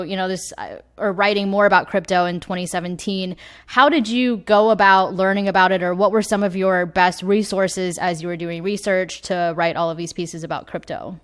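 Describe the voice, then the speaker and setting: A woman's voice: mid-range voice, steady tone, normal speed, smooth. A woman hosting a podcast, asking friendly, prompting questions. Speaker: podcast host. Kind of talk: interview questions.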